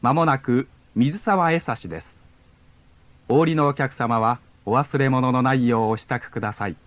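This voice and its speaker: A man reading scripted onboard train announcements formally and politely in measured phrases, on a lo-fi cassette recording. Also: mid-range voice, dry recording. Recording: dull lo-fi cassette tape.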